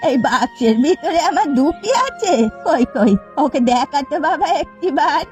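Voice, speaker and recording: A woman's voice: sits high, expressive, normal speed, darkish tone, faint music behind. A woman voicing a doting, indignant old village woman in a folk-tale cartoon, exclaiming dramatically. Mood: suspicious, eager.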